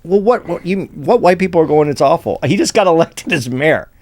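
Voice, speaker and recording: A man's voice: a little high, expressive, a little fast, darkish tone. A man co-hosting a casual podcast, arguing and interjecting. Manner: argumentative, interjecting. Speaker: podcast co-host. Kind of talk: casual comedic chat.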